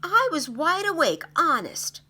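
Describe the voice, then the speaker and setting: Woman voicing an eager, high-pitched little girl. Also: big pitch swings, measured pace, bright, forceful tone, full character voice. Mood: excited secretive. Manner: eager, insistent delivery. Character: excited, stubborn little girl who believes in magic. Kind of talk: children's storybook character voice.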